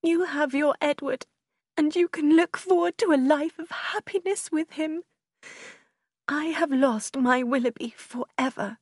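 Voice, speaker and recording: A woman's voice: high voice, measured pace, a little mellow, dry recording, studio quality, voice pushed up. A woman voicing a passionate, heartbroken young woman in an audiobook, with a tearful, pleading manner. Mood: anguished, bitter. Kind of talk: audiobook character acting in a simplified classic novel.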